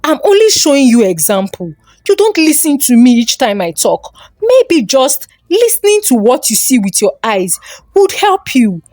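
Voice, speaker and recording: A woman's voice: high-pitched, sing-song, normal speed, a little mellow, no room sound, clean studio sound. A woman voicing a scornful, nagging wife in a folktale, with a Nigerian accent and a sarcastic, scolding way of talking.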